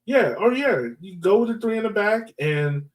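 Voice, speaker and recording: A man's voice: high-pitched, lively intonation, normal speed, far from the mic, poor audio. A man hosting a sports podcast, talking through analysis in an informal, slangy way. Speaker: podcast host. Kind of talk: casual sports analysis chat.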